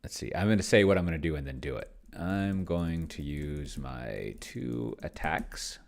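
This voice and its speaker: Deep, creaky-voiced man thinking aloud. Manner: muttering to himself, slowing as he dictates while typing. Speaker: solo tabletop gamer and video host. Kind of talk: casual think-aloud game commentary. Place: indoors at a computer, keyboard clicks behind.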